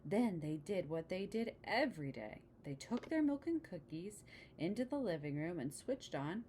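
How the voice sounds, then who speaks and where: A woman with a fairly low voice reads a children's storybook aloud. She slips into conversational asides to explain the pictures to young listeners. A faint noise sits behind her voice. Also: big pitch swings, moderate pace, dark tone. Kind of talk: picture-book read-aloud with casual asides.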